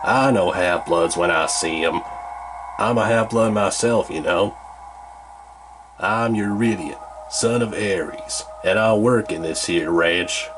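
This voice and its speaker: A man voicing a menacing middle-aged male rancher with a Southern accent and a slow, low drawl. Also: noisy background. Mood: gruff.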